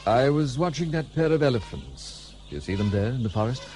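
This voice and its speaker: An actor playing a gruff, guarded, well-travelled gentleman in a period radio play, speaking in a dry, formal, old-fashioned way. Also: medium pitch, very animated, brisk, a little hiss or hum, lightly acted. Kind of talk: period radio drama acting.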